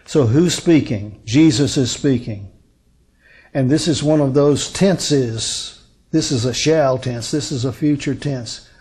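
An older man gives a sermon as a pastor, taking scripture apart at an unhurried pace. His voice is mellow and mid-pitched. He speaks deliberately, leaning on key words and pausing between short phrases. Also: slightly rough. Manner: deliberate, emphatic, with rhetorical questions and pauses. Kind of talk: sermon, expository Bible teaching from a lectern. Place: indoor lectern on a curtained stage.

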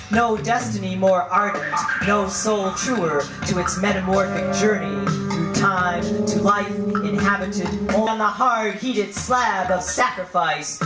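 A woman in her thirties performing spoken-word poetry in a declamatory, deliberate way with dramatic pauses, on a handheld microphone in a hall. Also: mid-range voice. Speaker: poet. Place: indoor hall, on stage. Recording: roomy live hall sound, music behind.

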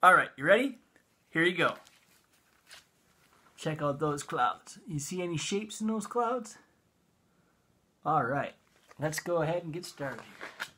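A man's voice: on the high side, animated, unhurried, long pauses, bright, forceful tone, a little hiss or hum. A middle-aged American man hosting a storytime for children, talking to them as an educator. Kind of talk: friendly storytime talk to children. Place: small indoor room with bookshelves.

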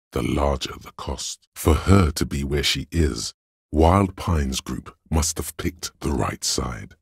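A cool, superior voice of pure reason for a video game. It is extremely deep and heavily husky, full of gravel. The delivery is measured, deliberate and dryly analytical, like dramatic narration. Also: male voice, a little mellow, dry recording, clean studio sound, full character voice. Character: cool, analytical inner voice of Logic, dry and superior.

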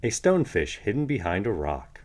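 A man narrates a children's storybook in a low voice. His pitch moves around in a lively way as he reads, giving the narration an expressive storytelling shape. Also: moderate pace. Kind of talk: expressive read-aloud narration of a picture book.

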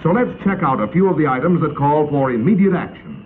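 An American man narrating a 1950s instructional film in a crisp, authoritative, instructional manner. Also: on the high side, expressive, quick pace. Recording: tinny, noisy 1950s film soundtrack.

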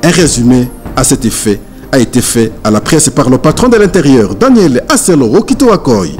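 A man's voice: mid-range voice, sing-song, brisk, darkish tone, faint music behind. A man reading scripted news narration as a reporter.

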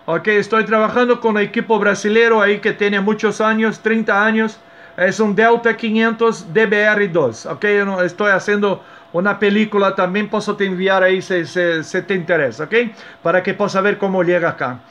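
A man's voice: high voice, fairly even intonation, normal speed, a bit bright. A man from Brazil with a Brazilian Portuguese accent, making a friendly, casual ham radio contact and giving call signs. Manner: friendly, cordial. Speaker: amateur radio operator.

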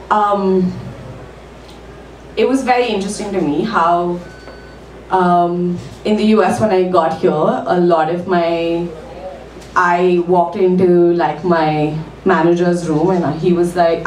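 A woman's voice: on the low side, darkish tone, a little hiss or hum. A woman from India in her thirties with an Indian accent, doing a self-deprecating stand-up set with ums and little laughs on a small club stage. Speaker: stand-up comic at an open mic. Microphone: mic on a stand, filmed from the room. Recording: echoey club room, voice from far off.

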